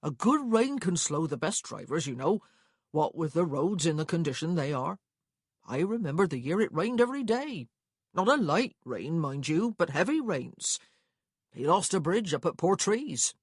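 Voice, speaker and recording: A woman's voice: sits low, very animated, moderate pace, breathy edge, no room sound, clean studio sound, full character voice. A woman voicing a nosy, officious male stagecoach clerk in an audiobook, chatty and rambling. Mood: easygoing, long-winded. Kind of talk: acted audiobook dialogue.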